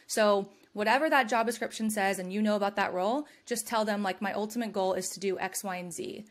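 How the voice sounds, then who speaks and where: A young adult woman from California hosting a podcast, giving advice in an upbeat, conversational way and laughing often. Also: medium pitch. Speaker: podcast host. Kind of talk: casual advice chat.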